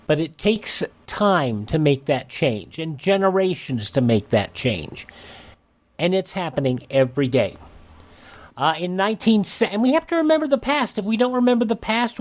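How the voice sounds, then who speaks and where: A man hosting a radio program, reading through dated history notes and commenting on them. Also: high voice. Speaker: radio show host.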